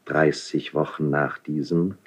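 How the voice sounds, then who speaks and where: A man's voice: extremely low pitch, fairly even intonation, measured pace, breathy edge, full character voice. A man acting an insinuating, obsequious royal priest in a 1970s German radio play, solemn and classical in delivery. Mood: sly, insistent. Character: insinuating, unctuous royal priest, feigning reluctance while accusing.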